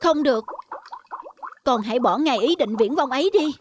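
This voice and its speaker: A woman's voice: sits high, expressive, normal speed, noisy background. A woman voicing a protective, strict mother fish in a children's cartoon, scolding and worried. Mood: alarmed, stern. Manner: scolding, firm. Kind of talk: animated children's cartoon dialogue. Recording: children's music behind dialogue.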